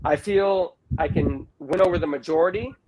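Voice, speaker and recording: A man's voice: a little high, moderate pace. A man in his early fifties talking casually and informally as a candidate in an interview, with emphatic repetition. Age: early 50s. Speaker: school board candidate being interviewed. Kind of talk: casual, unscripted interview talk. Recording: clearly noisy recording with clicks.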